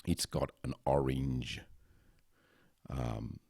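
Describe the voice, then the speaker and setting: A man who runs a podcast, chatting casually about his recording gear in a loud, resonant voice, rambling with lots of ums and self-corrections into a close headset microphone. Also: extremely low pitch, fairly even intonation, very slow, creaky, slightly rough, no room sound. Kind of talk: casual gear talk to camera. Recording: dry, close sound.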